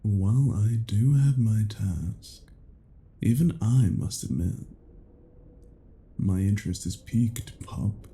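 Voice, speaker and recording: A man playing a cruel, haughty vampire in a dramatic roleplay, speaking in a deliberate, menacing and condescending way. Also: on the low side, warm tone. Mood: intrigued, probing. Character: cruel, haughty male vampire toying with his captive. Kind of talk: dramatic roleplay audio acting.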